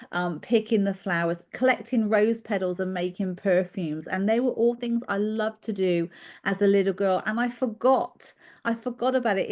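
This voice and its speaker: A British-born woman, a children's therapist, talking in a podcast interview and telling her story with many "um"s and a reflective manner. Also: medium pitch. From United Kingdom. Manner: warm reflective storytelling with frequent fillers. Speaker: guest therapist.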